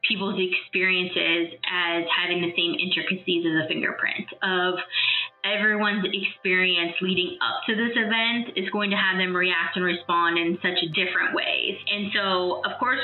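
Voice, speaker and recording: A woman from Ohio, a trauma counselor and practice founder, speaking candidly in an interview and often repeating words as she thinks aloud. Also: fairly low pitch. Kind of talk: interview clip. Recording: echoey, phone-quality interview audio.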